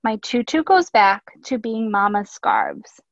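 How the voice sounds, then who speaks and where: A woman's voice: fairly high pitch, animated, slow. A woman reading a picture book aloud as a teacher, expressively, with instructive asides. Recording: echoey room, dull lo-fi audio.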